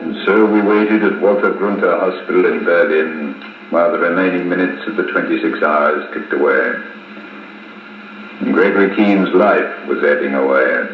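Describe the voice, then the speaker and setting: An actor playing a weary, stoic army colonel, recounting events in a measured, formal way in 1950s radio drama style. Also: male voice, low voice, natural voice. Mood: grave, suspenseful. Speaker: narrator and intelligence officer character. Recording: muffled, hissy 1950s wire recording, very echoey.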